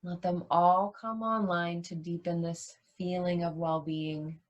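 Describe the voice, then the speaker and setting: A woman leading a guided yoga nidra meditation as a teacher, soothing and unhurried, leaving long pauses between short phrases. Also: lowish voice, level tone, lo-fi sound.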